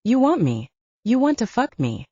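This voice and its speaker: A woman's voice: fairly high pitch, huge pitch swings, moderate pace, warm tone, husky, no room sound, lo-fi sound, pitched above the performer's own voice, exaggerated voice. Woman as a brazen, sultry she-wolf. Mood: seductive taunting.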